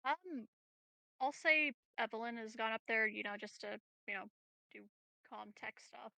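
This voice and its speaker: Girl playing a tabletop rpg, casual, bright voice with lots of fry, dry close sound. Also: on the high side, a little slow, no echo, low-quality recording. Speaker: player in a tabletop roleplaying game. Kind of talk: casual chat.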